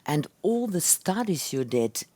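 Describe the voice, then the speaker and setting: A woman's voice: low-pitched, sing-song, a little slow, mellow, no echo. A woman hosting an interview, asking friendly questions and encouraging her guest. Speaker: host, interviewer.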